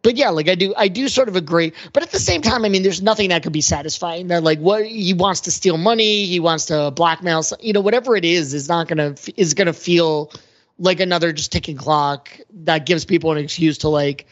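A man's voice: a little high, a little fast, a bit bright, dull audio. A man chatting casually as a podcast panelist, speculating about films in a conversational, filler-heavy way. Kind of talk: casual film discussion.

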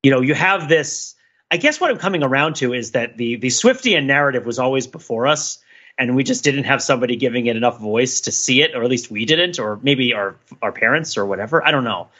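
A man co-hosting a podcast, giving casual, discursive pop-culture commentary in an animated, digressive and emphatic way. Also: medium pitch, brisk, highs cut off. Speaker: podcast co-host.

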